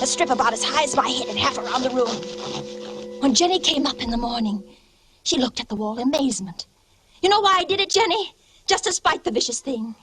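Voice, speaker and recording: An unhinged wife, high swooping voice. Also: female voice. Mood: sly, confiding. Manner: intense, theatrical, confiding to herself. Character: unhinged, secretive young wife descending into madness. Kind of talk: suspense radio drama monologue. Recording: radio drama with loud music behind.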